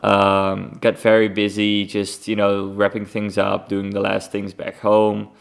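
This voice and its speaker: A man's voice: on the low side, monotone, a little slow, creaky, clean tone. A young man from the Netherlands with a Dutch accent, hosting a casual solo podcast in an informal, rambling way. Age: young adult. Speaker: podcast host.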